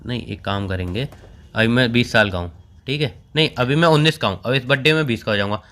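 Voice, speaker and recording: A young man in his twenties bickering jokingly with his girlfriend, playfully exasperated and quick to argue. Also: medium pitch, a bit bright. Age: 20s. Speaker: boyfriend in a comic prank chat. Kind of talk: casual joking argument.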